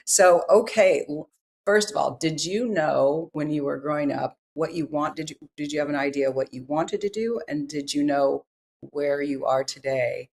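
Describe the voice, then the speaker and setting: A woman's voice: low-pitched, normal speed, creaky, darkish tone. A woman from Northern California hosting an interview, asking friendly, chatty questions. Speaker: podcast host.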